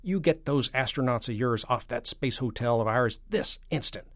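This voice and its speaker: A blustering, childishly hot-tempered president from a storybook, as acted by a man. His pitch jumps high and swoops about as he snaps and exclaims, with a gravelly creak in a dry, close recording. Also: wildly swooping pitch, normal speed, dry recording, full character voice. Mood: taunting, threatening. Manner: snapping, exclaiming, loud and emphatic.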